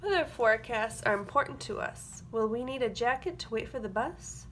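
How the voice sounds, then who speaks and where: Higher-pitched woman reading aloud to children. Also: moderate pace, slight background noise. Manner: expressive, simple explanations addressed to young children. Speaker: early-grades classroom teacher. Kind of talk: children's picture book read-aloud with short explanatory asides.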